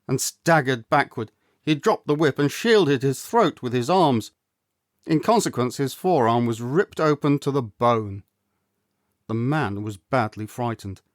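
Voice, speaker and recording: A man's voice: mid-range voice, expressive, dry recording. Male storyteller reading in a dry, close sound. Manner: theatrical, measured storytelling delivery. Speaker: audiobook reader, actor. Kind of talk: expressive storybook narration.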